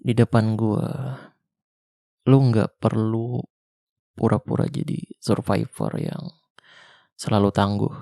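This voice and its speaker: A young man with a Jakarta slang accent playing a weary ex-soldier survivor of a zombie apocalypse, speaking softly and intimately in an ASMR roleplay. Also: on the low side, flat delivery, creaky, no echo, clean studio sound. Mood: tender. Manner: soft, slow, intimate, half-whispered. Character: weary male ex-soldier survivor, tender protector. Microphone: close mic.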